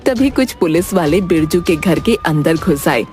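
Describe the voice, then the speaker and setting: A woman's voice: lowish voice, expressive, moderate pace, darkish tone. Woman narrating stories over faint music. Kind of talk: scripted storytelling narration.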